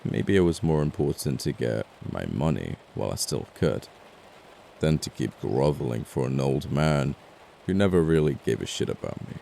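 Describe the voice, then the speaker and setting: Man acting out a very deep, gravelly, flirtatious killer. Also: big pitch swings, mellow, a little hiss or hum, heavily acted. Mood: bitter, defiant. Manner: smooth-talking, sardonic, deliberate threats with pauses. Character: amoral, flirty, apologetic male murderer, coolly menacing. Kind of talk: ASMR audio roleplay acting.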